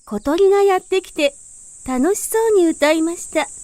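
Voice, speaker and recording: A woman storyteller reads a children's fairy tale. Her voice sits very high, and she delivers the narration as a scripted picture story for small listeners. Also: animated, normal speed, darkish tone.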